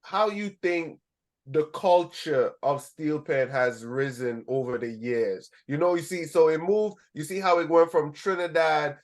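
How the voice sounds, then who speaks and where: A man's voice: fairly high pitch, unhurried. A man doing a casual interview, encouraging and putting in short agreements.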